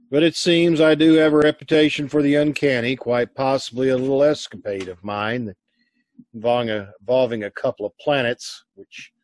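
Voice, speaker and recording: A man hosting with a scripted old-time radio introduction, measured, theatrical and wry. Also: fairly low pitch, darkish tone, no room sound. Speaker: radio show host.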